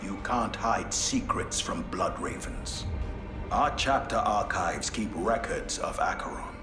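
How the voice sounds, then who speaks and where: A deep, calm space marine advisor. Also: male voice, slow, reverberant, over music. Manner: calm, informative. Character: deep-voiced male space marine advisor, dry and wise.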